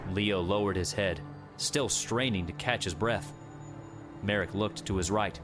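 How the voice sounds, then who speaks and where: A man's voice: on the low side, unhurried, music in the background. A man reading a scripted adventure audiobook as a dramatic narrator.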